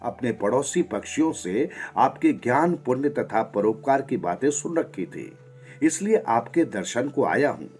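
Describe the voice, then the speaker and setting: A man voicing a sly tomcat in a storybook tale, soft and deferential, feigning humility to flatter, over music. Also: mid-range voice, unhurried, natural voice. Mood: humble, wheedling. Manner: soft, smooth-talking, deferential. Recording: music behind the voice.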